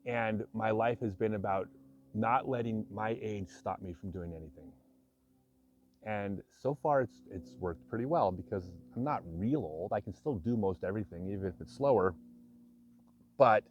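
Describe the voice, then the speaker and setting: A man's voice: lowish voice, normal speed, dull audio, slight background noise. A man in his fifties talking candidly and reflectively to camera, frank and self-deprecating with occasional chuckles. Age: 50s. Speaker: vlogger. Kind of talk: candid personal talk to camera.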